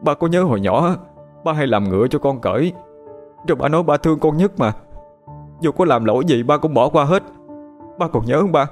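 A man's voice: on the high side, lively intonation, a little fast, pauses often, faint music behind, voice pushed up. Man voicing a whiny, stammering killer son. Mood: whining pleading. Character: violent, manipulative young murderer son.

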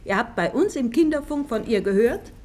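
A woman's voice: fairly high pitch, lively intonation, brisk, darkish tone. A woman hosting a children's radio interview, speaking simply and a little ceremoniously, in an old archive recording.

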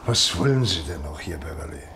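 Insistent doctor, low-voiced, noisy recording. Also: male voice, animated, a little fast, exaggerated voice. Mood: exasperated. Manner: insistent, reasoning. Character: urgent, practical, concerned male country doctor. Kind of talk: German radio play acting. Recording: noisy radio play with loud ambience.

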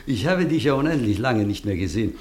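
A man as gushing Lord Beaumont, swooping widely in pitch. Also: expressive, fast, darkish tone, close to an everyday voice. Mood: delighted. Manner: effusive, grandiose, hesitating. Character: Lord Beaumont, gushing, fad-loving aristocratic host. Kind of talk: German radio play acting.

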